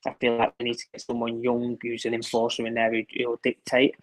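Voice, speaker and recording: A man's voice: mid-range voice, monotone, fairly quick. A man talking football as a guest, hesitant and often repeating words. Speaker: guest pundit. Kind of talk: casual podcast chat.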